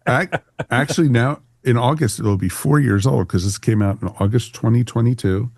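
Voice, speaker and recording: A man's voice: medium pitch, normal speed, gravelly, a little mellow, no echo. A man hosting a podcast in a casual, jokey, informal way. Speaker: podcast host.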